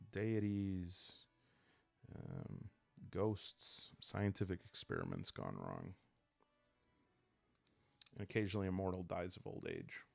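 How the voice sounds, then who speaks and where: A man calmly reading game rules in an ASMR style, soft-spoken and unhurried, with casual asides as he thinks aloud. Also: deep voice, gravelly, no echo. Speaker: host of a solo roleplaying game playthrough. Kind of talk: calm ASMR rulebook reading with casual commentary.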